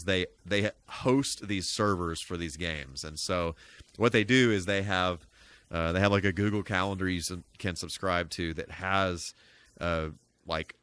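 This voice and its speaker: A man streaming a game and chatting casually, thinking aloud in a rambling, halting way with folksy, mild exclamations. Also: low voice, a bit bright, smooth. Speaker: live streamer, host. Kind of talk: casual gameplay live stream chat.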